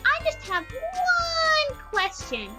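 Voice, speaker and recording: A woman's voice: extremely high pitch, sing-song, slow, loud music behind the voice, heavily acted. A woman voicing a hesitant, scatterbrained young pony girl in a cartoon-style audio drama. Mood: puzzled.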